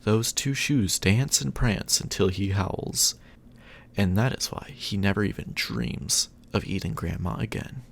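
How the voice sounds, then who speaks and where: A man with a lowish, creaky voice reads in ASMR bedtime style. He takes his time, speaking slowly and soothingly like an affectionate boyfriend. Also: a little hiss or hum. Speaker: ASMR roleplay performer and storyteller, as a boyfriend.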